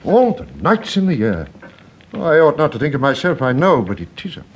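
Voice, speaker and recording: An actor playing a kindly, absent-minded older English country rector in a radio drama, fussy and effusive, often hesitating and repeating himself. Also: male voice, fairly high pitch, very animated, a little fast, muffled recording, slight background noise. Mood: dismayed.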